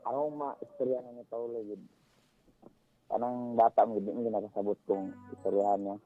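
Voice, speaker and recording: A man's voice: on the low side, fairly even intonation, moderate pace. Cebuano man on a tinny phone line. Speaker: radio show caller. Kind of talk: casual advice chat. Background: background music.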